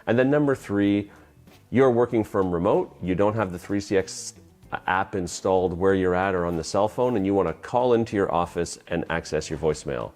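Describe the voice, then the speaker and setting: A man's voice: lowish voice, darkish tone. A man presenting an instructional tutorial, explaining things plainly step by step. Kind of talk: instructional explanation of phone system use.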